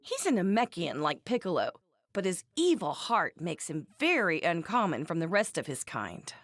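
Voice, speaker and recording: A woman's voice: lowish voice, very animated, moderate pace, no echo, studio quality. An actress voicing a feisty young woman in an anime game, speaking bluntly and expressively with a scornful edge. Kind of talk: scripted game voice lines.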